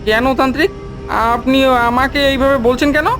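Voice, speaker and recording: A woman's voice: high-pitched, a little fast, lightly acted. A woman playing a sly but dim villain's accomplice in a cartoon, eager and questioning in manner. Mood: baffled, eager. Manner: animated, questioning. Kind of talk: dramatic cartoon voice acting. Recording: music behind the voice. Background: music.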